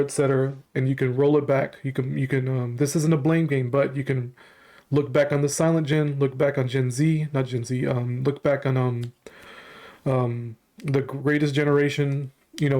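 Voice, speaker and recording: A man's voice: mid-range voice, mellow. A man hosting his own channel, giving casual, rambling commentary to camera with frequent ums and restarts, still carrying a slight cough and some congestion.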